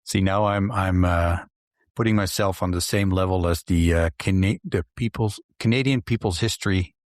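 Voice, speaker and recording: A middle-aged man with a slight Dutch accent, hosting a casual podcast chat in a soft, mumbling, soothing voice. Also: sits low, moderate pace, no echo, studio quality. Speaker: podcast host.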